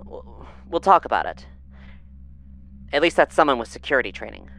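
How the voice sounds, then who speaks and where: A woman's voice: rumbling low, expressive, moderate pace, creaky, fairly bright tone, exaggerated voice. A woman playing a harried, bossy moon base leader in an audio drama, blunt, informal and sarcastic. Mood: sardonic, impatient.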